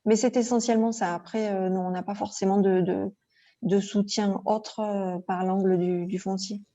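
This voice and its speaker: Mellow woman on dull audio. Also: medium pitch, steady tone, moderate pace. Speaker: local government panel speaker. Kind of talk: informal conference answer.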